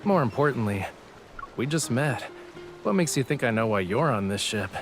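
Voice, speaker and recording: A man's voice: mid-range voice, lively intonation, moderate pace, lightly acted. A man playing a courteous, teasing young painter in an audio drama, with music behind him. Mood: puzzled, courteous. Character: young male painter, courteous, teasing, coolly puzzled gentleman.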